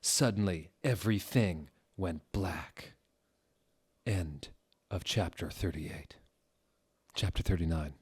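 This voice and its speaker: Deep-voiced man, mock-dramatic reader. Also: slow, long pauses, breathy edge. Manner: theatrical, exaggerated deadpan with sudden shouted outbursts. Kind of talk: mock-dramatic reading of a fan fiction.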